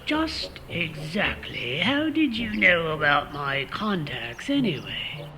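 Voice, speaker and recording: A man's voice: fairly high pitch, sing-song, slow, over music, exaggerated voice. A man playing a bumbling, gullible secret agent in a comic radio play, sounding flustered and pompous. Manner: flustered, pompous, self-important. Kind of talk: comic radio theater acting.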